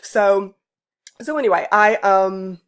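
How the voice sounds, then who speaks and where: A woman giving an informal narrated presentation as a researcher, explaining things in a halting way with pauses mid-phrase. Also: medium pitch, smooth. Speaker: student researcher presenting an ethnography project.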